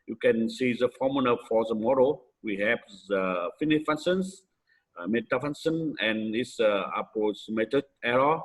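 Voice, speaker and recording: Male conference presenter, Vietnamese accent, mid-pitched voice, hesitant academic delivery in halting non-native English. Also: lively intonation, rough recording.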